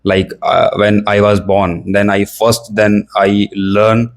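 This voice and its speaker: Young Indian male learner, Indian English accent, halting, filler-heavy delivery, lower register. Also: little pitch movement. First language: Hindi or another Indian language. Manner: hesitant, searching for words, many fillers. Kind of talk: casual practice conversation.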